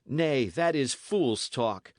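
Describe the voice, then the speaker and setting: Man doing a rough young squire, commanding, brusque, raised lively pitch, storybook reading. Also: unhurried, dry recording, clean studio sound. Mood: rough, impatient. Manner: brusque, forceful old-fashioned speech.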